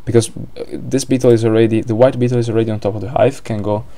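A man's voice: medium pitch, fairly even intonation, quick pace, mellow. A man with an Italian accent commentating a board game live, hesitant, with many fillers and restarts.

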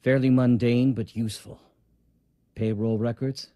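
An actor playing an older, matter-of-fact official in an audio drama, speaking in a measured, dry, explanatory way. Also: male voice, medium pitch, steady tone, mellow, dry recording, natural voice. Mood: dry, assured.

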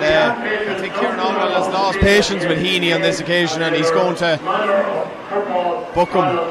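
Man, Irish accent, higher-pitched voice, live Gaelic football play-by-play, outdoor stadium, loud crowd noise. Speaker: play-by-play sports commentator. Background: loud crowd and ground noise.

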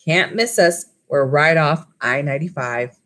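A gruff middle-aged man who runs a mall, as a woman reader puts him on. He talks in brusque, blustering bursts, in the manner of a storybook character read aloud. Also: low voice, measured pace, fairly bright tone. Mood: eager, boastful.

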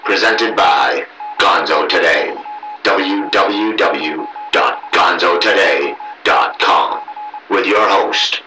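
A man's voice: low-pitched, takes it slowly, echoey room, noisy background. A man announcing a scripted show intro.

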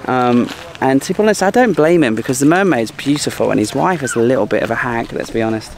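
A man in his thirties telling stories casually to camera as a travel vlogger, with an animated way of retelling things. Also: mid-range voice, quick pace. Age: 30s. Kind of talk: casual vlog storytelling to camera. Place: outdoors by a seaside sculpture park. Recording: clear outdoor background noise.

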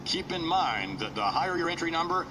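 An actor playing an authoritative middle-aged wrestling boss in a video game, formal and measured, with an American accent. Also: male voice, a little high, sing-song. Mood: businesslike. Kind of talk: scripted video game cutscene acting. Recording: heavily noisy, distant game capture.

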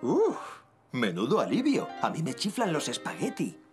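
A man's voice: a little high, wildly swooping pitch, normal speed. A man voicing a cheerful, boastful young cartoon dad in Castilian Spanish, playful and reassuring, as in a children's dub. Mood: relieved. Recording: music behind the voice.

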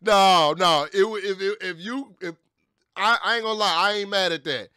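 A young man with a high, forceful voice hosts a reaction video in loose slang, often repeating himself for emphasis. He is recorded dry and close, with some hip hop behind him. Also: moderate pace, clear, resonant tone, no echo. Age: young adult. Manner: slangy, sweary, emphatic repetition. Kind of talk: casual reaction commentary. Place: small indoor streaming room. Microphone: close boom-arm microphone.

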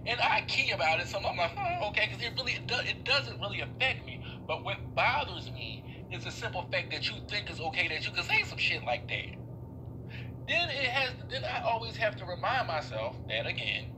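A young man rants into a phone held low at arm's length, swearing freely. His fairly high voice swings up and down as his feelings rise. Room noise and passing vehicles sit clearly behind him. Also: fairly quick. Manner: animated, swearing, voice swinging up and down in pitch. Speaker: vlogger venting on a live stream. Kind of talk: casual ranting monologue. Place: indoors in a workplace room. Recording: phone live stream with clear background noise.

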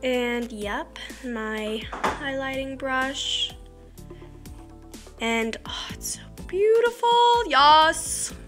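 A woman's voice: sits high, animated, extremely slow, long pauses, a bit bright, faint music behind. A teenage girl with a slightly scratchy voice, chatting casually and rambling through a makeup tutorial in her bedroom, often trailing off into mumbles and self-mocking jokes. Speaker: beauty vlogger. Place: small bedroom by a curtained window.

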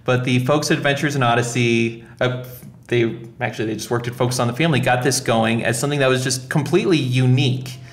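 A man's voice: medium pitch, fairly even intonation, quick pace. Male podcast host in an echoey room. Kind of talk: casual podcast conversation. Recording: roomy, echoing sound.

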